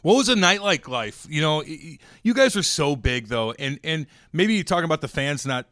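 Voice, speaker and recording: A man's voice: a little high, animated, fairly quick, a bit bright, no room sound, clean studio sound. A man co-hosting a podcast, who jokes in a brash way and swears freely. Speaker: podcast co-host. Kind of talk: casual banter.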